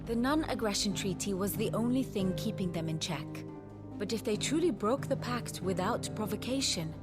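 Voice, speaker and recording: Woman acting a learned, formal fantasy scholar over loud music. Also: mid-range voice, expressive, close to an everyday voice. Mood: measured, grave. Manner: formal, eloquent, deliberate phrasing. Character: worldly woman scholar, learned, measured, faintly wry tutor of politics. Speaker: lore tutor character. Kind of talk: cinematic fantasy game acting, expository narration. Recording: loud orchestral music behind.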